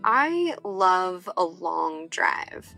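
A woman's voice: on the low side, sing-song, very slow, creaky, pushed and bright, soft background music. A woman from the United States reading her own wry, heartfelt essay as scripted narration.

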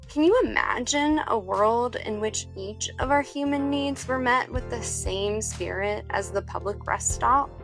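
A woman from the United States reading her own wry, heartfelt essay as scripted narration. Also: medium pitch.

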